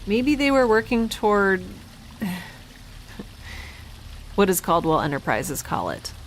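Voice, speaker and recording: A woman playing a guilt-ridden young scientist keeping an audio log in a halting, reflective, self-questioning way, as in an audio drama. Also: mid-range voice, sing-song, slight background noise. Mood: guilty, troubled. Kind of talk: audio drama monologue, introspective.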